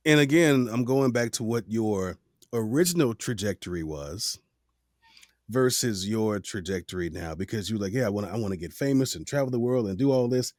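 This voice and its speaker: A man's voice: lowish voice, lively intonation, moderate pace, pauses often, darkish tone, dry recording, clean studio sound. A man hosting a podcast, asking long, hesitant questions full of fillers in a friendly, casual way. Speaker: podcast host. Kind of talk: casual interview.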